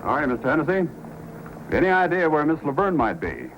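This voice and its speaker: An actor playing a calm, courteous police sergeant who questions methodically, in old-time radio style. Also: male voice, a little high, fairly quick, highs cut off, faint music behind. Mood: businesslike. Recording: noisy old radio recording.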